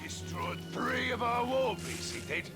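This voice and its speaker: An actor playing a brutish, gloating orc soldier in a video game, boastful and jeering. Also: male voice, very high voice, big pitch swings, measured pace, loud music behind the voice, full character voice. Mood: grudging, gloating. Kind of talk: video game cutscene acting.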